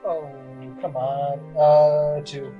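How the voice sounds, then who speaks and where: A man's voice: fairly high pitch, flat delivery, very slow, highs cut off, faint music behind. A man taking part as a player in a tabletop game, chatting casually as he plays. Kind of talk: casual chat during play.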